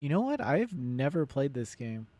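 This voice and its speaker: A man's voice: medium pitch, big pitch swings, moderate pace, mellow, no room sound. A man chatting casually as he streams a game, rambling as he thinks aloud, with loose, sweary, nerdy commentary. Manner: self-described nerdy enthusiast. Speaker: game streamer hosting a let's play. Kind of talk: casual live gaming commentary and chat.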